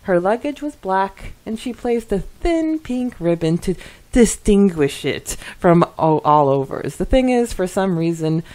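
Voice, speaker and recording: A woman podcast co-host reading out listener comments in an expressive, conversational way with casual asides. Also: medium pitch, measured pace.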